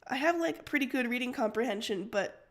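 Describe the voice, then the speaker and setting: A woman's voice: fairly high pitch, animated, a little fast. A woman co-hosting a livestream, chatting casually and reading fan fiction aloud. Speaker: livestream co-host and story reader.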